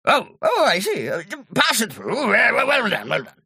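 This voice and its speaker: Woman as blustering storybook publisher man: very raspy, gravelly, sputtering, wildly swooping. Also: fairly high pitch, huge pitch swings, moderate pace, sharp tone, no room sound, studio quality, exaggerated voice. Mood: flustered. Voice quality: raspy, creaky. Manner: stammering, sputtering repetitions. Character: flustered, blustering male book publisher, Mister Big Nose.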